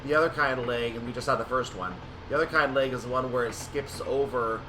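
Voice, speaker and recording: A man's voice: mid-range voice, quick pace, background noise. A man doing casual, explanatory gameplay commentary, thinking aloud as he goes. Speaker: gaming video creator narrating train simulator play.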